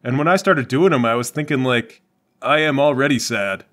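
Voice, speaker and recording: A man's voice: mid-range voice, moderate pace, fairly bright tone, natural voice. A man playing a glum, crooked slacker cop boyfriend in a tabletop game, with a hesitant, stammering delivery. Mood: glum, pleading.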